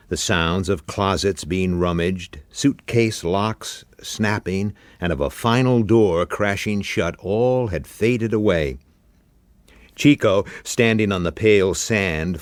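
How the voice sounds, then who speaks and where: A man reading literary fiction in a deliberate, expressive, dramatic narration style. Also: lowish voice, no room sound. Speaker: story reader.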